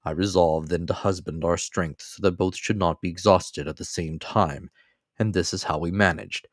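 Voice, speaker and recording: A man's voice: low-pitched, fairly even intonation, normal speed, dry recording, clean studio sound. A man reading classic fiction as a dramatic, scripted audiobook narration. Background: faint music.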